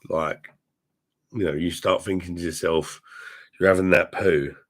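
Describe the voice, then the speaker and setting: Deep-voiced, hoarse man rambling. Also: fairly even intonation, measured pace. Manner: rambling, crude jokes, swearing. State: hoarse, unwell. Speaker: livestream host reading chat. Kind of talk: casual crude drinking chat.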